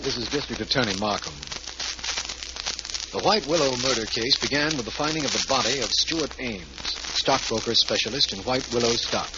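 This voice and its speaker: Actor doing an earnest cautious district attorney, 1940s radio mystery, muffled noisy recording. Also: male voice, mid-range voice, a little fast, natural voice. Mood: formal. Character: earnest, businesslike male district attorney, detective's cautious partner. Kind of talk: 1940s radio drama acting and scripted narration.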